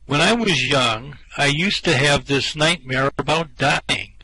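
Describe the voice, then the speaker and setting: Hapless space castaway for radio comedy, played by a man: anxious Englishman, sharp forward voice, slow, plaintive delivery. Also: on the high side, husky, dry recording, full character voice, rough recording. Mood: bewildered, glum. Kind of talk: comic science fiction radio play acting.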